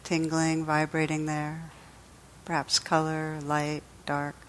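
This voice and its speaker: A woman leading a guided meditation as a teacher, speaking in a gentle, soothing, unhurried way with pauses. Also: low voice, completely flat delivery, a little hiss or hum.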